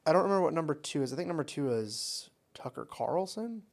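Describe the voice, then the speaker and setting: A man's voice: fairly high pitch, animated, quick pace. A man with an American accent hosting a casual podcast, talking in a blunt, informal, sweary way. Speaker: podcast host.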